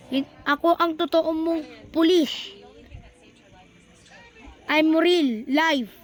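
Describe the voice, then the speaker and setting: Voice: extremely high pitch, steady tone, drawn-out words. An exclamatory, slangy voice acting out an improvised horror skit as a series of phone calls, with music behind.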